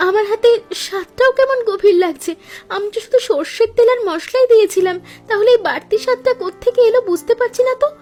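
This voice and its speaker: A woman voicing a practical young daughter-in-law in a cartoon, talking in a brisk, expressive way. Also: very high voice, faint music behind.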